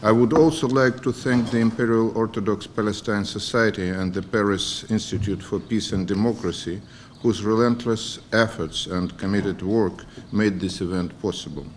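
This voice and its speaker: A man reads a formal diplomatic address. He goes haltingly, repeating words as he reads. Faint noise sits in the background. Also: lowish voice, fairly even intonation. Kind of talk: formal read speech. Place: conference room.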